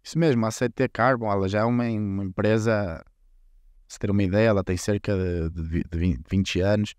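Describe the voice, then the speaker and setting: A middle-aged man from Portugal whose accent blends Portuguese and Brazilian, talking as a guest in a relaxed, rambling way with frequent restarts. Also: lowish voice, brisk, dry recording. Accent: Portuguese mixed with Brazilian. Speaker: company sales director, guest. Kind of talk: interview conversation.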